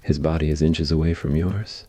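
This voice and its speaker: A man narrates scripted ASMR fiction. His voice is extremely low in pitch, with a dark, relaxed tone suited to intimate storytelling. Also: moderate pace, breathy edge, no echo.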